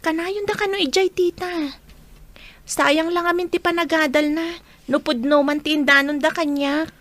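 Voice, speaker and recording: A woman's voice: high-pitched, fairly even intonation, moderate pace, fairly bright tone, dry recording. A woman playing an earnest, kindly young female student in a radio drama. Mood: worried.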